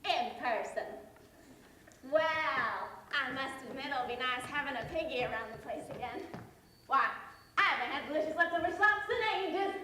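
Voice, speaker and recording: A woman's voice: high voice, animated, normal speed, bright, forceful tone, heavy reverb, a little hiss or hum. A woman playing a sly, greedy male rat with a sneering, scheming manner.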